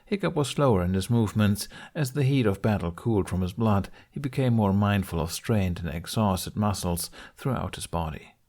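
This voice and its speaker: A man's voice: fairly low pitch, animated, moderate pace, hardly any pauses, a little mellow. A man reading a scripted story aloud as an audiobook narrator. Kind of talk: scripted narration of fan fiction.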